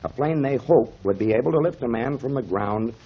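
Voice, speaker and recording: An actor playing a kindly, avuncular mentor explaining things to children in emphatic 1930s radio style, on a muffled old recording. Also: male voice, medium pitch, animated, brisk, breathy edge. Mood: earnest storytelling. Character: kindly grown man, storytelling mentor to children, pipe smoker.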